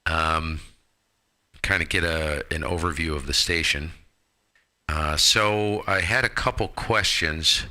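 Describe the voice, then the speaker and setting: A deep-voiced American man with a very bright, forceful edge. He talks like a ham radio host, breezy and salty, tossing in slang as he chats. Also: slow. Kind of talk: casual amateur radio chat.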